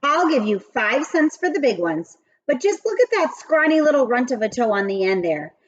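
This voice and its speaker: Sly haggling male teacher read by a woman, expressive. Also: high voice, normal speed, muffled recording, voice pushed up. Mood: dismissive bargaining. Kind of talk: children's storybook read-aloud.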